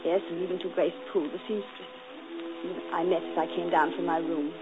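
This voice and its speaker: A woman's voice: lowish voice, level tone, brisk, over music, close to an everyday voice. A woman playing a proud, passionate young governess in a 1940s radio drama, turning clipped and bitter, then breathless. The sound is muffled and hissy, like an old broadcast. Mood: wistful.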